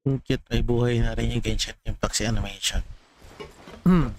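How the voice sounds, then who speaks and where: A woman's voice: rumbling low, fairly even intonation, fairly quick. A woman with a Filipino accent and native Tagalog, casually commenting as she streams a game. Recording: game music over the voice.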